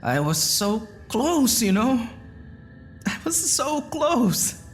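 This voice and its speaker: An actress playing a tender but angry woman full of regret in an audio drama, speaking haltingly and with emotion. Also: a little high, big pitch swings, faint music behind. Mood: bitter regret. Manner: halting, emotional, sighing pauses.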